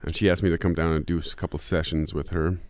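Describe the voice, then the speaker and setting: Man, very deep smooth voice, rambling musician guest, dry close sound. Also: little pitch movement, quick pace, darkish tone, no echo. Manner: rambling, many restarts and fillers. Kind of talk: relaxed interview answers.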